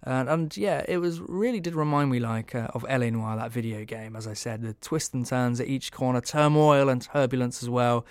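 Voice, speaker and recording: A man's voice: medium pitch, steady tone, moderate pace, hardly any pauses, smooth, dry recording. A man hosting a podcast, giving a casual review in a hesitant, meandering way. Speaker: podcast host.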